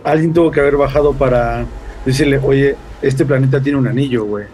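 A man's voice: medium pitch, fairly even intonation, a little fast, warm tone, poor audio. A man with a Mexican accent chatting casually and colloquially as a guest on a podcast. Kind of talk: casual chat. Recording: faint noise, room ambience.

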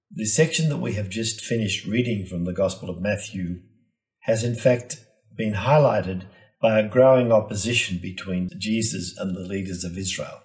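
A male priest giving an expository reflection on scripture in a measured, teaching way, stressing key names and phrases. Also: fairly low pitch, darkish tone, poor audio.

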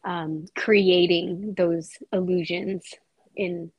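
A woman with a cold speaks slowly on a casual podcast, her voice husky and gravelly. She talks softly and lets her thoughts trail off. The sound is dry and close. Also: lowish voice, steady tone, no room sound, lo-fi sound. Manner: slow, soft-spoken, trailing off. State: has a cold. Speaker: podcast guest.

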